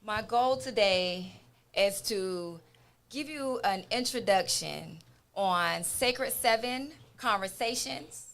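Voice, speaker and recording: A woman introducing her motivational talk show as host and wellness coach, speaking in an emphatic, deliberate and encouraging manner. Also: mid-range voice.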